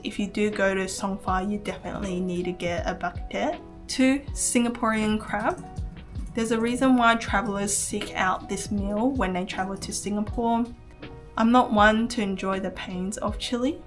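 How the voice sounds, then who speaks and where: A young woman with an American accent, reading a scripted travel vlog voice-over and recommending meals one by one. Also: mid-range voice. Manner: earnest, recommending, reads list items. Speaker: travel vlogger.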